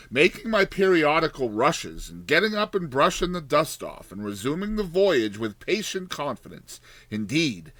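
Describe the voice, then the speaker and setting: A man's voice: mid-range voice, a bit bright, no room sound. A man narrating a classic short story as a dramatized audiobook, with theatrical, emphatic phrasing.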